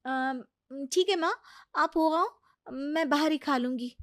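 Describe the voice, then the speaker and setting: A woman voicing a busy, self-important young daughter-in-law in a cartoon story, hurried and dramatic. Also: high-pitched, a bit bright, voice pushed up. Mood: breezy.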